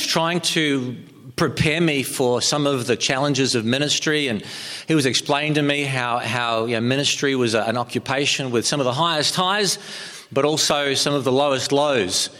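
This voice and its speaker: A man's voice: mid-range voice, fairly bright tone. Kiwi pastor guy preaching, lots of ums and false starts, voice ringing round a big hall. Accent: New Zealand. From New Zealand. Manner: hesitant, many ums and restarts. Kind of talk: sermon. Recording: long hall reverb.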